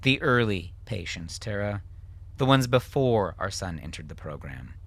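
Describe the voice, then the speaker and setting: A man's voice: fairly low pitch, expressive, measured pace, gravelly, fairly bright tone, no room sound, heavily acted. A man voicing a defensive middle-aged doctor, husband and father, with a firm, weary, self-justifying manner in an audiobook. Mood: frustrated, firm.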